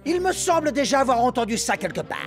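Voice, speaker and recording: An actor voicing an adult flatworm school principal in a French cartoon, grandiloquent and convinced of being a valiant knight. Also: sits high, fast talker. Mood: dazed, agreeable. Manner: theatrical, grandiloquent. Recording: music under the voice.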